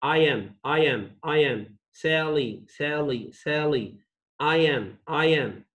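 A male English teacher slowly sounding out a reading text syllable by syllable, repeating each word for young learners. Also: a little high, a bit bright. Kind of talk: slow phonics reading lesson, sounding out words. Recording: dull lo-fi screen recording.

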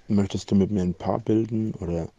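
A man's voice: lowish voice, heavy background noise. A man leaving a flirtatious voice message. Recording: dull lo-fi message with clicks.

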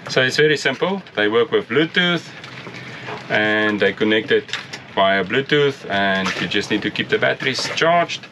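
A man's voice: lowish voice, expressive, unhurried, background noise. A middle-aged man on a catamaran deck by the sea, explaining in a plain, casual way how to sail in and catch a mooring ball. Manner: plain explaining, restarts phrases, chuckles now and then. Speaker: sailing vlogger. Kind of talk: casual instructional talk. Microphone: headset mic at first, then a camera mic up close.

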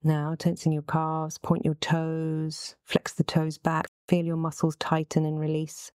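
A woman leading a guided relaxation as the instructor, speaking in a gentle, soothing, unhurried manner. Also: low voice, monotone, clear, resonant tone, dry recording, studio quality.

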